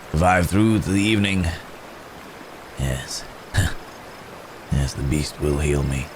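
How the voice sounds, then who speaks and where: A man playing a wounded, courteous werewolf in an intimate ASMR roleplay, halting and strained with pain, with old-fashioned polite phrasing. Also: extremely low pitch, noisy background, heavily acted. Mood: weary, polite. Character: wounded, weary, courteous male werewolf hunted by men.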